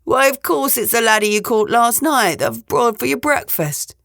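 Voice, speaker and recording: A woman's voice: fairly high pitch, expressive, normal speed, creaky, fairly bright tone, no echo, clean studio sound, pitched above the performer's own voice. A woman voicing a fussy, scolding, coaxing ogre's wife in a fairy tale, with a sing-song, chiding manner.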